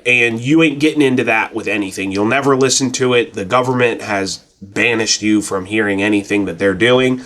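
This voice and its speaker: A man with a mid-pitched voice talks through a how-to in a relaxed, informal register. His delivery is folksy and plainspoken, like a tutorial presenter explaining practical steps in everyday words. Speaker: presenter of a radio tutorial channel. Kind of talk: casual explanatory tutorial talk.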